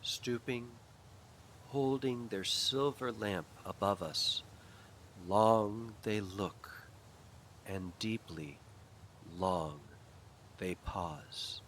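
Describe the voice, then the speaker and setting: A man narrating a ghost story as a slow, atmospheric dramatic reading, hushed and deliberate, with pauses between short phrases. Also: on the low side, a little hiss or hum.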